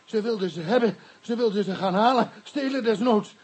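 A man playing a frightened, naive Pygmy mission convert in a radio play, speaking halting, stammering, broken Dutch with inverted word order. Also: high-pitched, a little mellow, husky. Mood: earnest, confiding.

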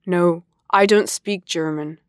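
A woman reading scripted English course dialogues in a deliberate, carefully enunciated way. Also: lowish voice, steady tone, clean tone, no echo, studio quality. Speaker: language course voice actor.

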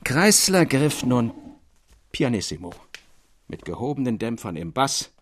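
A man's voice: medium pitch, sing-song, unhurried, slight background noise. A man narrating a literary radio drama from a script.

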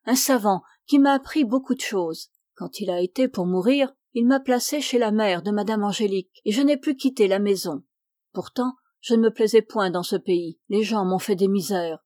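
A woman voicing an old peasant servant woman who is wary and talkative, with loud, quick, rustic speech. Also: mid-range voice, no room sound, studio quality, close to an everyday voice. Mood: garrulous.

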